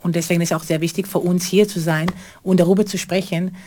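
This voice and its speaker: Middle-aged choreographer, earnest and halting interview answers, lowish voice. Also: female voice, fairly even intonation, darkish tone. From Israel. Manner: halting, non-native grammar, earnest. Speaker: choreographer and theater co-founder.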